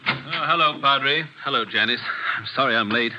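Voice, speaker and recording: An actor playing a brisk, sceptical young village doctor with an English accent in a radio mystery. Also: male voice, mid-range voice, noisy background, close to an everyday voice. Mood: urgent. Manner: brisk, dismissive, incredulous. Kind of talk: 1940s radio mystery acting. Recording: dull, lo-fi vintage radio recording.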